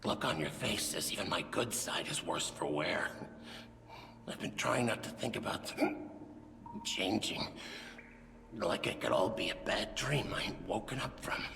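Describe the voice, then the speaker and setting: A man voicing a haunted sailor turning monstrous in a video game, speaking haltingly in a gravelly, rasping voice that breaks into whispers. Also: sits low. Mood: haunted, grim. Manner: halting, tormented, intense. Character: haunted sailor slowly changing into something monstrous. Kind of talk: dramatic cutscene monologue. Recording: cavernous reverb with noise.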